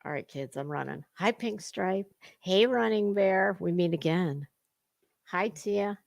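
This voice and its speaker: A woman's voice: on the low side, unhurried, creaky, no echo, studio quality. A woman hosting a livestream, chatting casually with viewers in a rambling, informal way. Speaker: livestream host.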